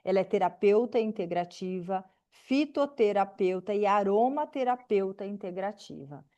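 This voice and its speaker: A woman's voice: medium pitch, lively intonation, moderate pace, dark tone, muffled recording. A woman informally presenting to an online group as host. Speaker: host introducing a guest.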